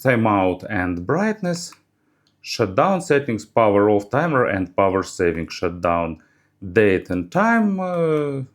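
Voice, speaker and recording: Guy doing a gadget review, lowish voice, really swingy intonation, kinda halting delivery. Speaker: product reviewer. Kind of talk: hands-on gadget review narration.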